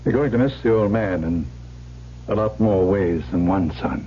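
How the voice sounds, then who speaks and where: Middle-aged family lawyer played by a man, dark mellow tone, blunt yet fatherly, dull radio drama recording with faint hum. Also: low-pitched, normal speed, close to an everyday voice. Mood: sympathetic.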